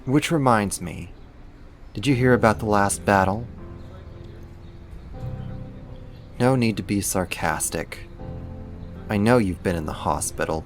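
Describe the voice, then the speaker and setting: A man acting a casual, lightly teasing roommate who is secretly a supervillain, in a conversational ASMR roleplay. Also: low-pitched. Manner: casual, laid-back, lightly teasing, slangy.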